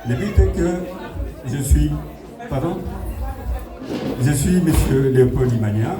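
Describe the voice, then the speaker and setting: A man's voice: mid-range voice, animated, measured pace, music in the background, crowd noise. Middle aged congolese french accent guy giving informal speech at a party, echoey room, mic far away. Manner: storytelling, addresses listeners directly. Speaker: community association founder addressing guests. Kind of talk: informal public speech with anecdotes. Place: party hall, speaking to a gathering. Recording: echoey hall, distant mic, audience noise.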